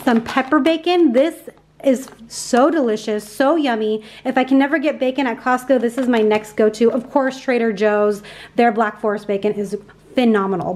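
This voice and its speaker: Chatty upbeat woman vlogger, slightly high. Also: darkish tone. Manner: chatty, upbeat, lots of fillers. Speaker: grocery haul vlogger. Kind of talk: casual voice-over chat.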